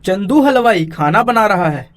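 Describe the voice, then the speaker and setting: A man narrating a scripted animated story for children as its storyteller. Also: high-pitched, moderate pace.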